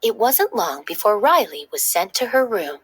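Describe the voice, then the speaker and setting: A woman narrates a children's storybook aloud with lively, animated intonation. Her voice is slightly husky and sits at a medium pitch. The sound is close and dry, with little room in it. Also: moderate pace, no echo. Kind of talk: expressive scripted read-aloud narration.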